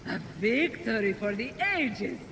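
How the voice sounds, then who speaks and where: An actor playing a sarcastic, cooing tormentor with a theatrical, belittling manner, in an animated video game. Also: male voice, sits high, a little slow, slight background noise, full character voice. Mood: mock-sweet. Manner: sarcastic, theatrical, mock-sweet.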